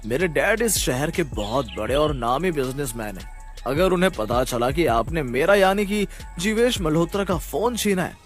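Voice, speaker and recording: A man voicing a cocky, spoiled high-school student in a cartoon story, taunting and swaggering. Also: expressive, normal speed, soft background music, natural voice. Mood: arrogant, defiant. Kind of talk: animated story character acting.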